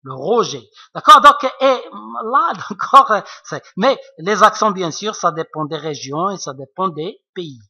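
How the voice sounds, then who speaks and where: A man's voice: high-pitched, expressive, unhurried, few pauses, bright, forceful tone, breathy edge, muffled recording. A man with an Arabic accent, a native Arabic speaker, explaining French poetry to students in a patient, repetitive way. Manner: patient, repetitive, checks with frequent asides. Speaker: French literature teacher. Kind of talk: classroom explanation.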